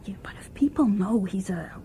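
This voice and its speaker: Woman playing an anxious, animated wife. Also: medium pitch, a little fast, a little hiss or hum, close to an everyday voice. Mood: frightened, insistent. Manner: agitated, pleading. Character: anxious, insistent wife of a policeman. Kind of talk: old-time radio drama acting.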